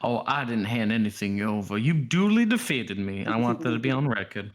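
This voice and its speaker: A man's voice: mid-range voice, very animated, a little fast, pitched below the performer's own voice, close to an everyday voice. A man voicing a sly, flirtatious female rogue who talks in florid, mock-courtly phrases. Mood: coy.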